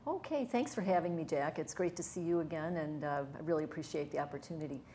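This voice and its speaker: A woman's voice: sits low, fast talker, dark tone, a little hiss or hum. A middle-aged woman from Wisconsin, a wellness coach talking earnestly and enthusiastically as an interview guest. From Wisconsin, USA. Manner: earnest, enthusiastic, with fillers and restarts. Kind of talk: conversational interview.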